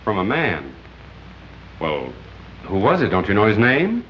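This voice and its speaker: An actor playing a wry, measured male radio crime newscaster in an old-time drama, with dry wit. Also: lowish voice, huge pitch swings. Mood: exasperated, sarcastic. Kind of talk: old-time crime drama acting with broadcast announcer delivery. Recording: dull, noisy old film-era soundtrack.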